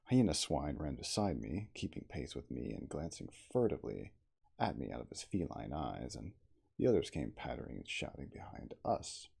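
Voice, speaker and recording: A man reading an adventure story aloud in a deliberate, dramatic ASMR style. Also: rumbling low, vocal fry, darkish tone, slightly rough. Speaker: audiobook reader.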